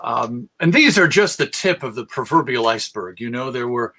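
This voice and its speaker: A man's voice: medium pitch, expressive, normal speed, pushed and bright. An American man giving an informal lecture as a presenter, with a conversational, dryly wry manner. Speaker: presenter and radio historian.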